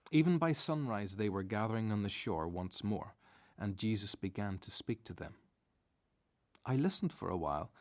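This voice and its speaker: An actor playing a devout disciple who narrates a radio Bible drama in a reflective, scripted style. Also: male voice, on the low side. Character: male disciple recounting events, reflective and devout.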